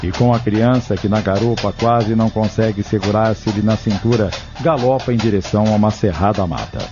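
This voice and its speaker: A man's voice: on the low side, fairly quick. A man giving scripted, dramatic narration for an audio novel. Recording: dull, lo-fi recording with music.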